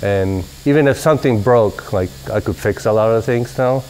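Low-voiced man in his forties from Southern California, laid-back interviewee with a wandering delivery, recorded seated in a workshop. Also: normal speed, clear, resonant tone, poor audio. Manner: relaxed, rambling with many fillers. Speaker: company president. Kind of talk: casual interview. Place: large workshop garage, indoors.

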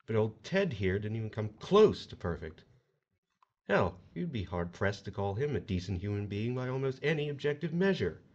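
An actor playing a smug, folksy agent of the afterlife who mockingly recites a sinner's crimes in an audio horror drama. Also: male voice, on the low side, big pitch swings, moderate pace, a little mellow, lo-fi sound. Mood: folksy, impatient.

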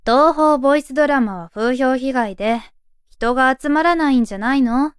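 A woman's voice: sits high, normal speed, clear, resonant tone, no echo, close to an everyday voice. A woman playing a blunt young shrine maiden girl who makes dry retorts in a comic anime voice drama. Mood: exasperated. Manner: dry, exasperated straight-man retorts.

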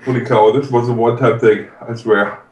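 A man talking haltingly and casually while suffering from mouth-burning heat, recorded at home on a video call. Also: medium pitch, steady tone. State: suffering from burning hot pepper. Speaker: challenge host recovering from a hot pepper. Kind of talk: casual chat. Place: living room at home. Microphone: distant mic on a video call. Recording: echoey, noisy room heard from far off.

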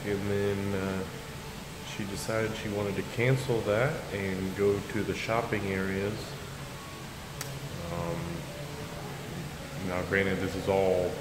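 A man in his thirties talking casually into a handheld camera indoors, telling his day with relaxed ums. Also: low-pitched, slow. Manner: relaxed storytelling with filler ums. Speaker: vlogger. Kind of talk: casual travel vlog talk. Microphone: handheld action camera held close. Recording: echoey, reverberant, with steady background noise.

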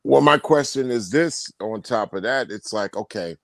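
A man co-hosting a casual video call chat, talking in a rambling, animated way with many restarts. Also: medium pitch, normal speed, gravelly. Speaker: tour promoter and co-host.

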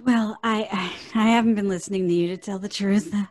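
A woman's voice: mid-range voice, fairly even intonation, fairly quick, a little mellow, lightly acted. A woman playing a sweet, dreamy young woman in an old-time radio drama, earnest and mild. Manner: earnest, mild, slightly hesitant.

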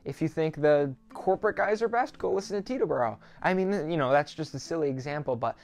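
A man's voice: fairly high pitch, animated, quick pace. Reassuring teenage boy explaining, music behind. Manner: reassuring, conversational. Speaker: student pilot YouTuber, host. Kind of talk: explanatory talk to camera. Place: small quiet home office, indoors.

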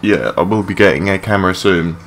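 A young man with a low voice giving rambling, matey, sweary gameplay commentary in a casual unscripted way. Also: fairly quick, soft background music. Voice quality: low-pitched voice. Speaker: gaming video creator. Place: his room at home. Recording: clearly noisy home mic recording.

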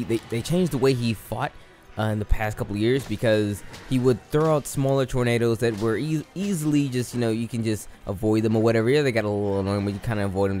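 A man who is losing his voice, giving casual, rambling commentary over a game and often correcting himself. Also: lowish voice. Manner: rambling, self-correcting, slangy. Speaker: gaming YouTuber.

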